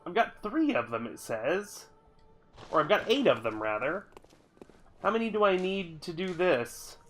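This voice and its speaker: A man's voice: high voice, very animated, a little slow, fairly bright tone, soft background music. A man live-streaming a video game, stuffy from a cold, chatting casually with viewers in a rambling, grumbly way and swearing now and then. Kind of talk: casual live-stream chat while playing.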